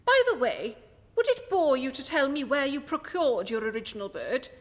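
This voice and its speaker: A woman playing a brisk, courteous, shrewd British gentleman detective in a radio play, precise and self-assured. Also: high voice, sing-song, long reverb tail. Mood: smoothly polite.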